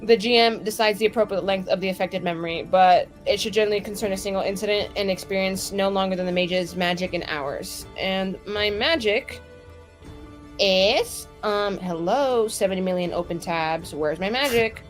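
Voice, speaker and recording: A woman's voice: mid-range voice, moderate pace. A woman playing in a tabletop roleplaying show, chatting in a casual, improvised way. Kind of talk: casual improvised game chat. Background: faint music.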